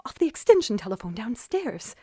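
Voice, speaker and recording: A woman's voice: on the high side, very animated, a little fast, mellow, husky, no echo, clean studio sound, exaggerated voice. A woman playing a nervous, demanding bedridden wife in an old-time radio thriller, fretful and dramatic. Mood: frightened, hushed. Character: nervous, imperious invalid wife, bedridden, increasingly terrified.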